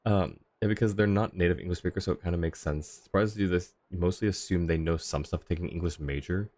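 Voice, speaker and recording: A man livestreaming a game, casually commenting and joking with viewers in a halting, self-interrupting way. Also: low voice, darkish tone.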